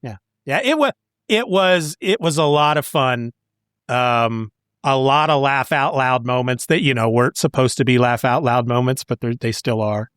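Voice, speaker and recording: A man's voice: mid-range voice, moderate pace, fairly bright tone, clear, resonant tone, dry recording, studio quality. A man hosting a podcast, moving between casual chat and scripted show announcements. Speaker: podcast host.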